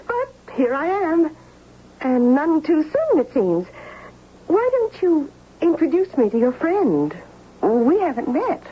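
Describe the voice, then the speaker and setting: A woman's voice: high-pitched, huge pitch swings, slow pace, lo-fi sound, full character voice. An actress playing a pushy, possessive young woman, falsely sweet and pointed, in a 1970s radio drama. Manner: pointed, insistent. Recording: band-limited, faintly noisy 1970s radio recording.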